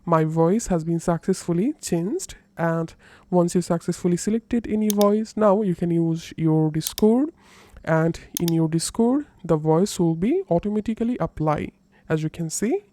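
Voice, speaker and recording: A dark, somewhat low voice, a woman through a voice changer, explains software steps. It sounds electronically deepened and instructional, with slight noise and clicks in the recording. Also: normal speed. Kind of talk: step-by-step software tutorial narration.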